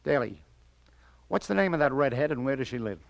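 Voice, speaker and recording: An actor playing a wisecracking, streetwise New York police lieutenant in breezy 1940s radio noir style. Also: male voice, mid-range voice, animated, brisk, vocal fry, no room sound. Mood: probing. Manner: wisecracking, breezy, chuckling asides.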